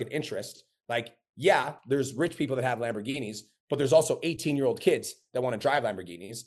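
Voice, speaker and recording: A man's voice: mid-range voice, darkish tone, breathy edge. A man teaching a live coaching webinar, blunt and casual with frequent profanity. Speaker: business coach.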